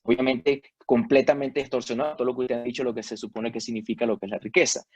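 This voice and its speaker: A Venezuelan man on a discussion panel, speaking thoughtfully and hesitantly, pausing often with fillers and restarting his sentences. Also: mid-range voice, level tone, darkish tone, slightly rough, no room sound. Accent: Venezuelan Spanish. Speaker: panel guest.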